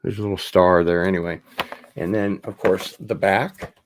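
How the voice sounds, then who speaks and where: A man's voice: deep voice, steady tone, moderate pace. A man hosting a casual unboxing livestream, rambling along with wry asides. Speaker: host of an online show.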